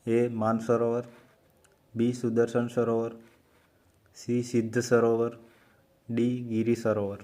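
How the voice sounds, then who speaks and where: A man with a Gujarati accent reads exam quiz questions in an even, unvarying tone. He moves through each option slowly and deliberately, at a middle pitch. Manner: slow, measured reading of options. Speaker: quiz host for exam preparation. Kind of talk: reading quiz questions and answers.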